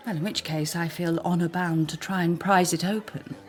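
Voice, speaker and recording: An actress playing a flirtatious, bored upper-class wife in a period radio drama, teasing and sardonic. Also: female voice, lowish voice, fairly even intonation, normal speed, close to an everyday voice. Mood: arch, teasing. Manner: arch, teasing. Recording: music behind the voice.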